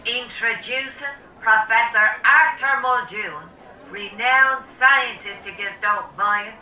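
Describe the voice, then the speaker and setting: Sharp, echoing Dublin bingo caller. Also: female voice, fairly high pitch, takes it slowly, heavily acted. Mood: proud, bossy. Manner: showy announcing. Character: brassy, proud Dublin woman bingo caller. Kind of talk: radio drama acting. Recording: echoey hall microphone, distant.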